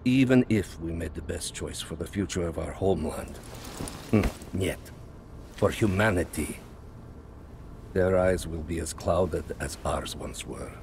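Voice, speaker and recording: An actor playing a calm, ruthless Soviet spymaster, speaking slowly and with cold confidence. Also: male voice, low-pitched, gravelly, slight background noise. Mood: calm, satisfied. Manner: slow, measured, coldly confident.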